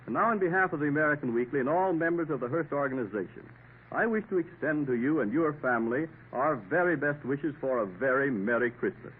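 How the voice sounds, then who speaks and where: Formal 1950s radio announcer, tinny. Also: male voice, on the high side, animated, fairly quick, a little hiss or hum. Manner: formal, polished delivery. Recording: tinny old radio broadcast.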